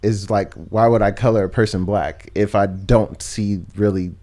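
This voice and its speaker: Young man, from the United States, low voice, casual podcast chat, reflective and rambling. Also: steady tone, normal speed, warm tone. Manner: reflective, rambling with many fillers and restarts. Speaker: podcast guest. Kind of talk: casual conversation.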